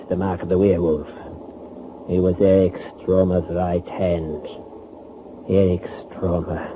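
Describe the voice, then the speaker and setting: A grim, vengeful man on the run in a 1940s radio thriller. He, and he gives orders tersely and intensely. The aged recording is clearly noisy. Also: low voice. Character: grim, commanding male fugitive on a mission of revenge.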